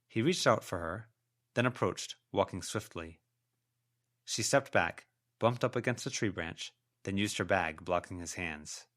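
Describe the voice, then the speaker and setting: A man's voice: deep voice, unhurried, fairly bright tone. A man reading scripted fiction as an audiobook narrator.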